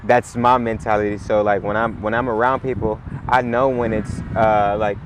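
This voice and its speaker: A man, chatting in a relaxed, rambling way as an interview guest, full of "like" and "you know". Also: lowish voice, moderate pace, noisy background. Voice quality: raspy. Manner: laid-back, rambling, heavy on "like" and "you know". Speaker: musician guest. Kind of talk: casual interview chat.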